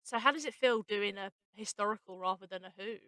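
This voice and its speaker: A woman's voice: mid-range voice, brisk, pushed and bright, smooth, no echo. A woman hosting a casual behind-the-scenes chat, speaking emphatically and repeating herself for emphasis. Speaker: host, writer and actress.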